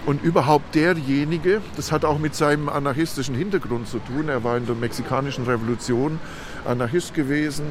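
A man's voice: on the high side, fairly quick. A man guiding a city tour outdoors, explaining as he goes. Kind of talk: explanatory guided tour talk. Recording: noisy outdoor street recording.

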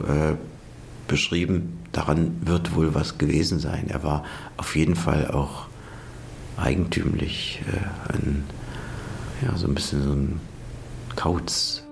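A man's voice: extremely low pitch, gravelly, a little hiss or hum. A man speaking conversationally and hesitantly as an interviewee, searching for words.

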